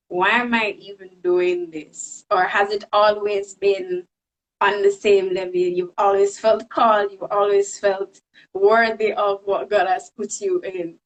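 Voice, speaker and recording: Female host, mid pitch, measured and searching as she asks questions. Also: low-quality recording. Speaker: host interviewer. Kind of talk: live stream interview.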